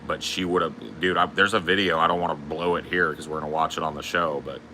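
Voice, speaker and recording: Deep-voiced booming man, profane and blunt. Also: steady tone, quick pace, sharp tone, slight background noise. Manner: profane, storytelling. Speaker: podcast host. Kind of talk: casual chat.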